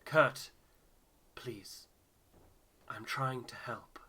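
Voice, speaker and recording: A man acts out an earnest doctor on a game's polar expedition. He is careful and restrained, speaking formally in a very bright voice. The manner is measured and concerned. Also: mid-range voice, husky, heavily acted. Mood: grave, concerned.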